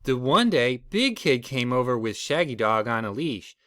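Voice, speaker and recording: A man's voice: medium pitch, sing-song, moderate pace, pushed and bright, dry recording, studio quality. A man reading a children's storybook aloud as the storyteller.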